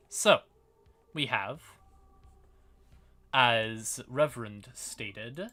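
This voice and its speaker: Bright-voiced man explaining lore on a livestream. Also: mid-range voice. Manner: informal, sweary, apologetic asides. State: tired, yawning. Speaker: livestream host. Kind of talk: casual explainer livestream on fantasy game lore.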